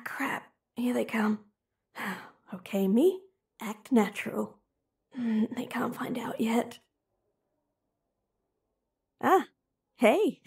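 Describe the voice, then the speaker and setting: A woman's voice: a little high, gravelly, dark tone, husky, full character voice. A woman voicing a young woman who teases her childhood friend while hiding a crush, switching between whispered inner thoughts and playful banter in an audio roleplay. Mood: flustered, pining. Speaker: voice actress.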